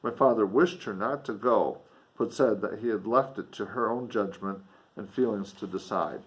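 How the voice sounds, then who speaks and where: A man reading a classic novel in an expressive audiobook style, with spoken commentary. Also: lowish voice, normal speed, poor audio.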